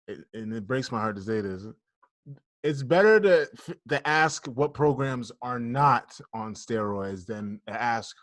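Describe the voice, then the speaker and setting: A man co-hosting a laid-back podcast, blunt and jokey, with curses dropped in freely. His voice sits at an ordinary middle pitch. The recording is close and dry, with no room sound around it. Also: a bit bright, dry recording. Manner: blunt, joking, swears freely. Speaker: podcast co-host. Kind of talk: casual podcast chat.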